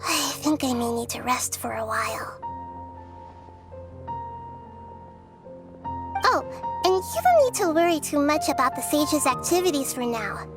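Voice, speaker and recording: A high, light voice of a little girl goddess, earnest and composed. She speaks in careful, formal game dialogue with a thoughtful, wise manner, over background music. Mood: weary, relieved. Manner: measured, thoughtful, formal phrasing. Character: small gentle wise young girl deity, earnest and composed. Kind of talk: scripted video game character dialogue.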